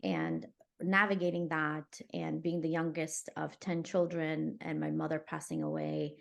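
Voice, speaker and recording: Low, gravelly woman telling her story. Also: lively intonation, a little slow. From Afghanistan, raised in New York City. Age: 40s. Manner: warm, reflective, laughs while telling her story. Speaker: guest contributor. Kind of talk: conversational personal story.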